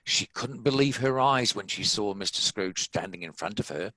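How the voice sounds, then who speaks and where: Man, New Zealand accent, scripted story narration, lowish voice, bright forceful tone, dry sound. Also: lively intonation, normal speed, no echo, poor audio.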